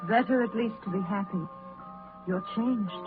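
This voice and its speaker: Thin 1930s radio sound, with music behind, carries a sorrowful young fiancée played by a woman. She speaks at a middling pitch, earnest and measured, mournful yet quietly resolute. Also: phone-quality sound, lightly acted. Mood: sad reproach. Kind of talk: 1930s radio drama acting.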